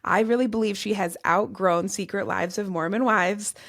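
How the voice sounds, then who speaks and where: A woman with a Midwestern accent hosting a solo podcast, her voice raspy and cracking from overuse, talking through topics in an earnest, list-like way. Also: medium pitch, moderate pace, fairly bright tone. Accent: Midwestern American. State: strained, cracking voice. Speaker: podcast host and tarot reader. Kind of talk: solo podcast monologue on astrology.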